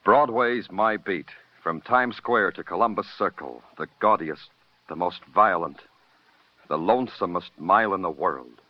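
An actor playing a world-weary, hardboiled New York police detective with a clipped, wry manner, in 1950s radio noir style. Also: male voice, sits low, measured pace. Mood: brooding, dramatic. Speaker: narrator and lead actor. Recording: muffled old radio recording, loud music.

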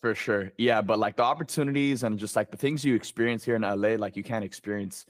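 A man's voice: on the low side, quick pace. A young man from Miami, a guest on a movie podcast, talking eagerly and casually with lots of filler. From Miami, Florida. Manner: enthusiastic, heavy use of "like".

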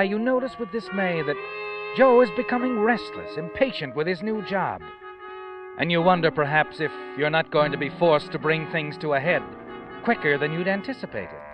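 Old time radio suspense narrator, ominous and insinuating, highish voice, loud music behind, muffled. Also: male voice. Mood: suspenseful. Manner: insinuating, deliberate, dramatic. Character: ominous, knowing narrator who taunts the protagonist. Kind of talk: dramatic second-person radio narration, 1940s. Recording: dull vintage radio recording. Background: dramatic music.

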